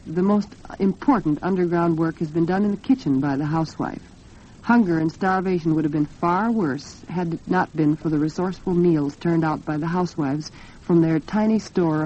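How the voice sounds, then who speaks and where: A woman's voice: on the low side, mellow. An American woman hosting a 1940s radio talk program, speaking in an earnest, articulate and polished way. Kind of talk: 1940s radio women's talk program, commentary and sponsor read. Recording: dull lo-fi 1940s radio recording.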